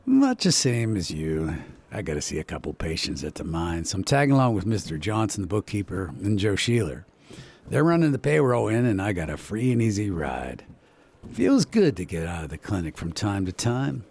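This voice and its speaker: An actor playing an easygoing, watchful small-town doctor in a radio drama, with a West Virginia accent. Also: male voice, on the low side, huge pitch swings, moderate pace, darkish tone, breathy edge, full character voice. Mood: friendly, easygoing. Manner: folksy, conversational.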